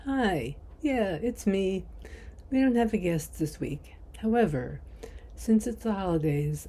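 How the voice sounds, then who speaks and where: A woman hosting a podcast in a casual style, with a gravelly voice. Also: on the low side, warm tone. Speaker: podcast host.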